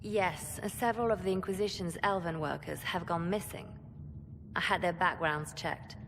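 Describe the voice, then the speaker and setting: A woman as a low-voiced, cool spymaster. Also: steady tone, normal speed, noisy background, lightly acted. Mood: matter-of-fact. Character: cool, grave female spymaster advisor. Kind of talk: video game drama acting. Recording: long hall reverb, faint ambience.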